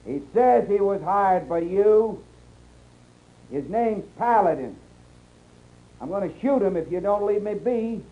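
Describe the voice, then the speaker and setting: A man playing a desperate cornered westerner accused of horse stealing, with a rough rustic drawl, in a 1950s radio western. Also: high voice, lively intonation, measured pace, long pauses, full character voice. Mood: threatening. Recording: noisy muffled 1950s radio recording.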